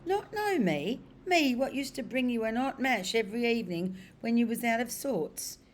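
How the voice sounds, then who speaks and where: A woman voicing a hearty working-class male cab driver with rough, ungrammatical speech. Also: medium pitch, moderate pace, darkish tone, a little hiss or hum, lightly acted. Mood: indignant.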